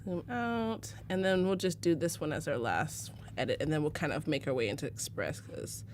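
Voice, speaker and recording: A young woman from Arizona walks viewers through a photo edit on a live stream. She talks casually with lots of "like" and "um", often laughing. Her voice sits slightly low, with a faint hiss behind it. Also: moderate pace. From United States (Arizona). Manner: casual, chatty, fillers like "like" and "um", laughs easily. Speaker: livestream presenter teaching photo editing. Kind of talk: live software tutorial.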